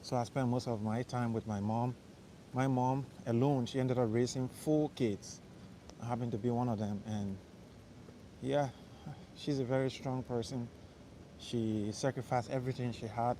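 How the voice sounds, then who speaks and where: A young man from Liberia talks to his live-stream viewers as he walks a city street at night. His speech is relaxed and rambling, and his voice sits at an ordinary middle pitch. Faint traffic hums behind him on the phone mic. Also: moderate pace. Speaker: livestream host. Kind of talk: casual live-stream chat while walking. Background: faint traffic noise.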